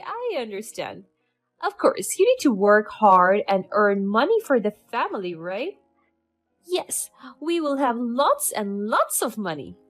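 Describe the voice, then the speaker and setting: A woman playing a fake-sweet, mocking mother-in-law. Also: a little high. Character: scheming, spiteful older mother-in-law woman.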